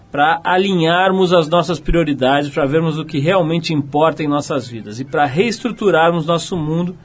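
A man's voice: fairly high pitch, breathy edge. A Brazilian man hosting an interview, talking casually with frequent tag questions and reading a passage aloud. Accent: Brazilian Portuguese. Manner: chatty, many "né?" tags, reads a passage aloud. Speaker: radio interviewer. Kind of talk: conversational interview.

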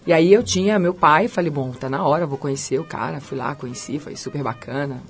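A middle-aged woman with a Carioca accent, a chef and restaurant owner talking about her life as a guest on a radio interview, as a lively storyteller. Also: a little fast, soft background music. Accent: Carioca Brazilian Portuguese. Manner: lively storyteller, pitch swinging high and low.

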